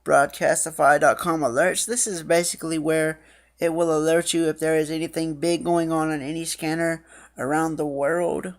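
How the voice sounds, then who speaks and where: A woman's voice: deep voice, little pitch movement, normal speed. A woman giving a casual tutorial walkthrough, reading screen text aloud and then explaining it. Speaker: app reviewer and demonstrator.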